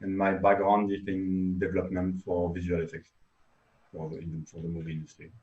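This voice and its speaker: A man from Belgium introducing himself calmly as a visual effects developer. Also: low-pitched, fairly quick, muffled recording. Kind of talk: brief self-introduction.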